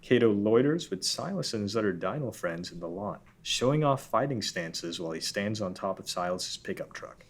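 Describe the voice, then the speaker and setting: A man reading out scene directions in an even, matter-of-fact way at a table read. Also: fairly low pitch, normal speed, few pauses.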